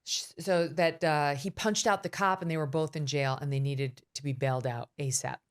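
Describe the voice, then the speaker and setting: A middle-aged woman hosting a podcast interview, telling stories in a quick, animated way. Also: sits low. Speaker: host.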